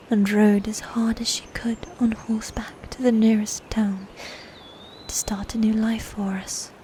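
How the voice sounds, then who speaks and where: A woman acts out a sadistic, ambitious knight sister in a medieval fantasy roleplay. Her highish voice is soft-edged and mellow, delivered slowly in formal, theatrical phrases, with noticeable ambient noise behind it. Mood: wounded, accusing. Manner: theatrical, archaic formal phrasing, slow and deliberate. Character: proud, sadistic female knight, twin sister, playfully cruel and ambitious. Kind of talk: scripted medieval fantasy audio roleplay, ASMR.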